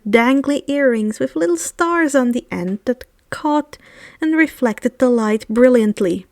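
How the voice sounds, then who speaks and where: A woman reading fan fiction aloud as an expressive audiobook narration, close to the microphone. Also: on the high side, no room sound.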